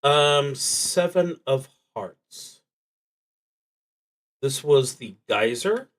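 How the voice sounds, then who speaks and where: A man streaming a solo tabletop game, thinking aloud in a halting, deliberate way and often trailing off. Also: medium pitch, lively intonation. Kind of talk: thinking aloud while playing a solo card and dice game.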